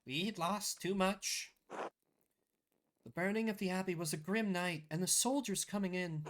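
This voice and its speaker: A man's voice: sits high, a little slow, a bit bright, a little hiss or hum. A man voicing a weary, sorrowful peasant mother in a video game, speaking plaintively.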